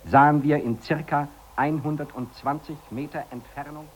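An actor playing a member of a rescue expedition, reading a formal, precise situation report in a 1950s German radio drama. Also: male voice, a little high, steady tone, moderate pace, close to an everyday voice. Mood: formal, sober. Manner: official, precise. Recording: dull old radio recording, faint noise.

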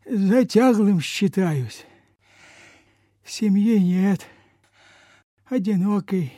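An actor playing a frail, humble old peasant beggar in a Soviet radio play, halting, deferential and folksy. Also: male voice, high voice, animated, warm tone, no echo, clean studio sound, exaggerated voice. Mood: weak, pleading. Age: older.

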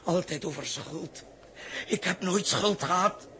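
An actor playing an anguished, injured spaceman in a 1960s radio drama, halting and pleading as he repeats his words. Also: high-pitched. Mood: agitated pleading. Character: anguished injured young spaceman, guilt-ridden and desperate. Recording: noisy vintage 1960s radio recording.

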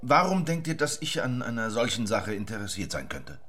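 Bold adventurer with swooping pitch. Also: male voice, big pitch swings, fairly quick, background noise. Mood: wry, guarded. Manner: dry, self-assured. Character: bold, wry male adventurer, a stranger in Venice. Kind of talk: radio drama dialogue and narration.

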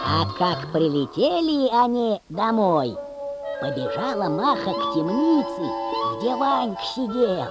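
A woman narrating a folk tale as an animated storyteller in an audio play. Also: medium pitch, slow, over loud music.

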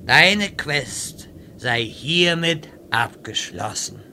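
A pompous great wizard, played by a man in a fantasy radio comedy. He speaks slowly and haughtily in a fairly high, creaky voice, with clear background ambience. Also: animated, sharp tone, a little hiss or hum, heavily acted. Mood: condescending. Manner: haughty, slow, grandiose. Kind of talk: audio comedy parody acting.

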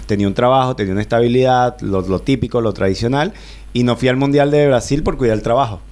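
A man's voice: mid-range voice, quick pace, a little mellow. A Venezuelan man in his thirties, a guest in a radio studio, telling his story at length with many fillers and restarts. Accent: Venezuelan Spanish. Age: 30s. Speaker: guest, bicycle traveler. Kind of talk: relaxed radio interview.

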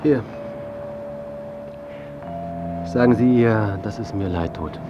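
An actor playing a disillusioned, self-absorbed biographer in a radio drama, speaking and narrating in a dry, clipped, reflective way. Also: male voice, fairly low pitch, moderate pace, over music, close to an everyday voice. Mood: puzzled, subdued. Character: disillusioned male biographer, self-absorbed, adrift, dryly bewildered. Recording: muffled, clear background ambience noise.

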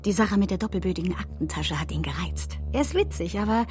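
A mid-pitched actress as a female campaign strategist in a radio satire. She is scheming, breezy and emphatic, with a teasing edge, over faint music. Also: big pitch swings, fast talker, darkish tone, close to an everyday voice. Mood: smitten, excited. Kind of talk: modern satirical radio play.

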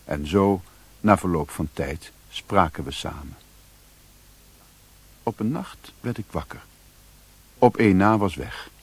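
An actor playing a sombre adventurer who narrates his journey in a radio play, with a husky voice. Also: low-pitched, animated, slow pace, pauses often, exaggerated voice. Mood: reflective.

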